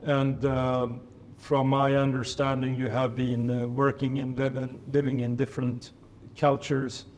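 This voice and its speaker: Moderator: man, mid-pitched, hesitant and searching, faint room hum behind. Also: flat delivery, measured pace, darkish tone. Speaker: panel moderator. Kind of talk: moderating a panel discussion. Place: reception room at a residence.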